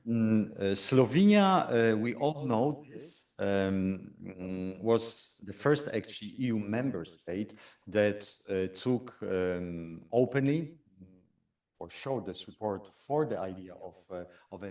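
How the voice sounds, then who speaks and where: A man moderates a panel in a low voice, pausing and hesitating as he searches for words. His voice sits at a distance from the microphone. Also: darkish tone. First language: Polish. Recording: distant mic in a conference room.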